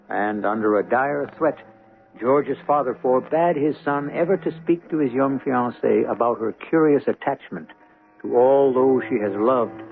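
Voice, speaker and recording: Gravelly man narrating vintage radio drama. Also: mid-range voice. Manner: portentous, deliberate phrasing. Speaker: host and narrator. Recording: muffled, tinny vintage radio broadcast. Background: faint music.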